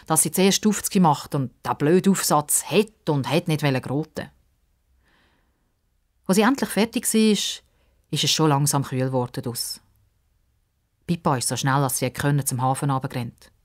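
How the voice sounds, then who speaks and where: A woman narrating a children's story expressively from a script. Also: deep voice.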